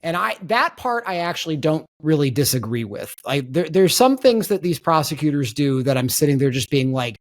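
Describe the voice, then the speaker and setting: A man's voice: a little high, very animated, normal speed, few pauses. A man hosting a political podcast, talking in an emphatic conversational way and repeating words as he restarts. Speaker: podcast host.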